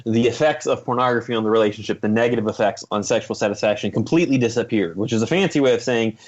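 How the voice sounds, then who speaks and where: A man speaking as an expert guest, explaining in a measured, academic way with careful qualifications. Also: mid-range voice, highs cut off. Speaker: guest expert, psychology professor. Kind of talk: explanatory interview answers.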